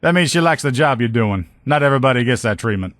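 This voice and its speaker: A man's voice: mid-range voice, expressive, quick pace, fairly bright tone, dry recording, studio quality, lightly acted. A man voicing a slangy, good-humored veteran lieutenant and mechanic in a video game. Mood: easygoing, reassuring. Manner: folksy, slangy, talkative. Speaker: video game character voice actor. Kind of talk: video game dialogue lines.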